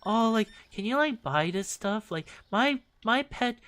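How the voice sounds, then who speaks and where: A man's voice: sits high, lively intonation, unhurried, fairly bright tone, voice pushed up. A man voicing a whiny customer pleading for a favor. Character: pleading pet-owner customer.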